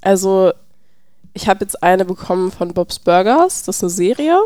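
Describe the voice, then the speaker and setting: Young woman, medium pitch, podcast co-host, casual chat, dry echo-free sound. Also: animated, moderate pace, a little mellow.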